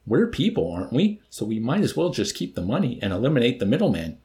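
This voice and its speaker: A man reading an audiobook voicing a calm, scheming male officer who talks in a mildly reproving, chanting way. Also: medium pitch, fast, close to an everyday voice. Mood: explaining.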